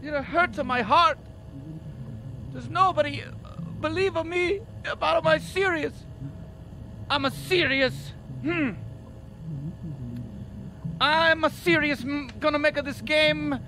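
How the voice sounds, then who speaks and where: A man's voice: very high voice, slow, long pauses, sharp tone, heavily acted. A man voicing a self-pitying, obsessive game designer who whines and repeats himself in broken English. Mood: wounded, self-pitying. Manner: whining, repetitive, mangled grammar. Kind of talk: improvised comedic game acting. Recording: noisy cassette-tape recording.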